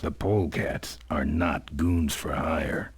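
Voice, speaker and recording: A man playing a tough, laconic biker gang leader in a video game, terse and deadpan. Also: a bass voice, slow. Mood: dry, defiant. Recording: loud music behind the voice.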